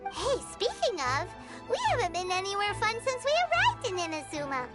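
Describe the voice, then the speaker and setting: A tiny, curious fairy companion in a video game. The voice is extremely high, squeaky and childlike, swinging chirpily up and down. Music plays behind it. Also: female voice, huge pitch swings, moderate pace, exaggerated voice. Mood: chirpy, curious.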